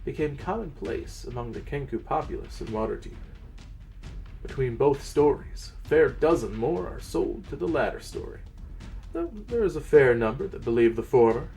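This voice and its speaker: A man hosting and narrating fantasy stories as a game master, theatrical, with weighted pauses. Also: medium pitch, animated, creaky, a little mellow, faint music behind, low-quality recording. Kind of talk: dramatic reading and channel presenting.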